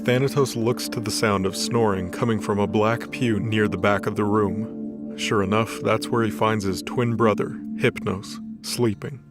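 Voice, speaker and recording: A man's voice: on the low side, fairly even intonation, normal speed. A man narrating a scripted dramatic story as the storyteller of an audio drama. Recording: voice over background music.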